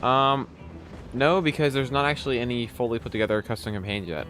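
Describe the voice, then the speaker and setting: A man's voice: medium pitch, brisk, fairly bright tone, faint music behind. A young man from Texas with a light Texan accent, chatting casually with viewers while streaming a game, rambling with lots of fillers. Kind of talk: casual live-stream chat while playing.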